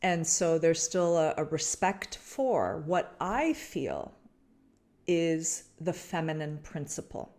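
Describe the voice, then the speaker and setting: A woman from Canada hosting a podcast, talking reflectively to her listeners about spirit and design, with a searching, halting manner full of restarts and fillers. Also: low-pitched, a little mellow. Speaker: podcast host.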